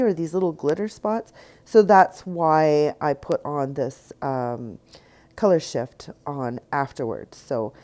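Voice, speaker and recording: A woman with a cold, a bit stuffy and slightly raspy, talking through a rock painting tutorial in a rambling way with many ums and uhs. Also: low voice, dark tone. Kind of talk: casual painting tutorial narration.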